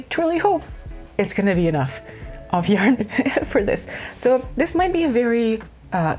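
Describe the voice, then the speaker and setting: A woman's voice: medium pitch, darkish tone. A woman from Ukraine chatting casually to camera about her craft projects, rambling with many fillers and restarts. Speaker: craft vlogger.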